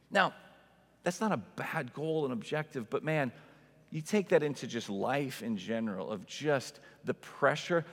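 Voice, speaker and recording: A man's voice: a little high, fairly bright tone. A man in his forties preaching a conversational sermon as a pastor, with an informal, emphatic manner and frequent rhetorical asides. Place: large indoor church space with long reverb. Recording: reverberant hall sound.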